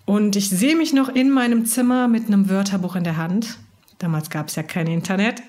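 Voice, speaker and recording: A woman's voice: medium pitch, normal speed, darkish tone. A woman from Germany, raised bilingual in German and Italian, chatting thoughtfully on a podcast and laughing easily. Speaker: podcast co-host. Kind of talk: casual conversation about language learning.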